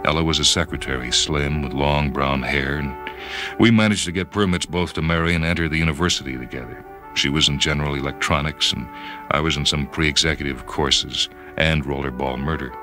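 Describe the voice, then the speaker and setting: A man reading a first-person story dramatically, in a brooding, measured manner. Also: very deep voice. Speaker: story reader. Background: background music.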